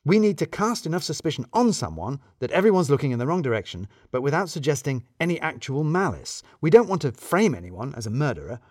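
A man's voice: mid-range voice, a little mellow, no echo, studio quality, pitched above the performer's own voice. A man voicing a fretful, obsessive office worker turned amateur detective, explaining things emphatically in an audio story.

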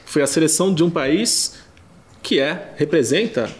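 A man on a sports panel who delivers sarcastic asides. His pitch swoops widely as he talks. He speaks at a mid pitch. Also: lively intonation, measured pace, roomy sound. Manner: wry, sarcastic jokes. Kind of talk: joking sports panel chat.

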